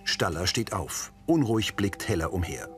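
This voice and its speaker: A man's voice: deep voice, lively intonation, normal speed, soft background music. A man narrating scenes in a scripted, present-tense audio description.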